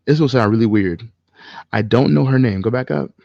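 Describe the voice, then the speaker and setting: A man's voice: medium pitch, fairly quick, gravelly, mellow, no echo, lo-fi sound. A man co-hosting a casual podcast chat about movies, speaking frankly in informal slang with some swearing. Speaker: podcast co-host.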